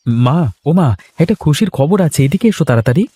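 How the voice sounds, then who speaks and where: Man voicing a proud young son, fast-talking. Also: fairly high pitch, lively intonation, dark tone, husky, dry recording. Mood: elated. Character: proud young village son, newly employed, low-voiced. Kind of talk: cartoon story acting. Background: music.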